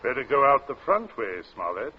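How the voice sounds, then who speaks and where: An actor playing a genial, smoothly dissembling middle-aged gentleman in an old radio drama, hemming affably. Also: medium pitch, very animated, moderate pace, lightly acted. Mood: genial, soothing. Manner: affable, hemming, affectedly casual. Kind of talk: golden age radio drama acting. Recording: dull, hissy old radio recording.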